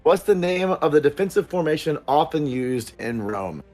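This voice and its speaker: A man's voice: a little high, expressive, moderate pace, a little mellow, faint music behind. A man hosting a casual livestream and chatting to viewers in a rambling, upbeat way full of fillers. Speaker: producer and stream host.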